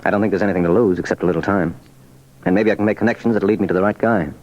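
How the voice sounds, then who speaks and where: An actor playing a cool undercover police sergeant in a radio crime drama, terse and businesslike. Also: male voice, sits low, steady tone, rapid-fire, a little mellow. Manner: terse, businesslike, hard-boiled. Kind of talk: 1940s radio drama acting. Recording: muffled, faintly noisy old radio recording.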